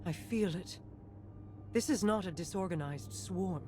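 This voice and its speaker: An actress playing a regal, grave fantasy queen in a video game, measured and commanding. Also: on the low side, close to an everyday voice. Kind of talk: scripted video game dialogue. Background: game music.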